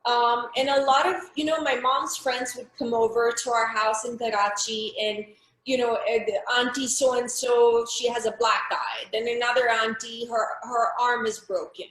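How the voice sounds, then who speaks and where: A woman's voice: fairly high pitch, fairly even intonation, normal speed, a bit bright, roomy sound, rough recording. A middle-aged woman from Pakistan, speaking frankly and earnestly as an interview guest, often restarting her sentences. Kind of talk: personal testimony in an interview.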